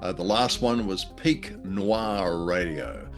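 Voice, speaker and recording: A man co-hosting a show with casual, halting commentary. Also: deep voice, faint music behind. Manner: hesitant, halting. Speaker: show co-host.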